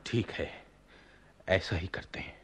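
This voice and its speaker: An actor playing a lovesick young prince in a radio play, imperious yet earnest, with courtly theatrical diction. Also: male voice, slow pace, lightly acted. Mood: eager, hushed. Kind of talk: Hindi-Urdu radio play acting.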